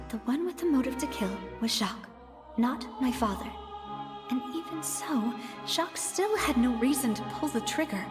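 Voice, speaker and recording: High-voiced, resolute young woman. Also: lively intonation, moderate pace, music in the background. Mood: earnest, insistent. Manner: earnest, firm, articulate. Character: determined young woman accusing a man in court. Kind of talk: scripted game dialogue.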